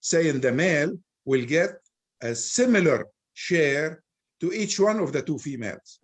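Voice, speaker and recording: A man who is a native Arabic speaker, answering questions as a scholar in an informal religious law discussion, explaining in a halting way. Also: on the high side, sing-song, husky.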